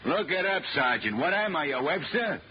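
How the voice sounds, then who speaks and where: An actor playing a weary, exasperated city police lieutenant in 1950s American radio drama, gruff and slangy. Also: male voice, on the high side, sing-song, normal speed. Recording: muffled, noisy vintage radio recording.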